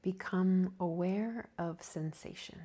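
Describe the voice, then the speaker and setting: Slow, low-voiced woman guiding meditation. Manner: calming, gentle, soft-spoken with breathy softness. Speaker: yoga nidra teacher. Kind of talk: guided meditation.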